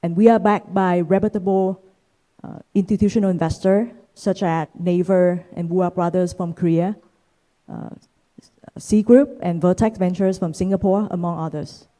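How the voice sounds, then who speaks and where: A woman's voice: fairly low pitch, fairly even intonation, dark tone, poor audio. A woman in her thirties from Vietnam, giving a conference keynote talk with frequent "uh" fillers. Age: 30s. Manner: frequent "uh" fillers, measured delivery. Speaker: keynote speaker, venture capitalist. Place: conference stage.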